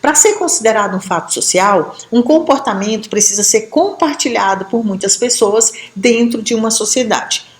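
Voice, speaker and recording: A woman from Brazil giving a sociology lesson, explaining step by step and checking in with quick tag questions. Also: a little high, reverberant. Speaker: sociology teacher.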